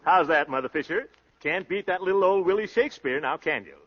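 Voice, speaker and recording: An actor playing a brash, boastful young suitor in a 1930s radio comedy, talking in pompous, florid slang. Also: male voice, on the high side, animated, a little fast, a little hiss or hum, close to an everyday voice. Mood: showy. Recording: dull, lo-fi 1930s radio recording.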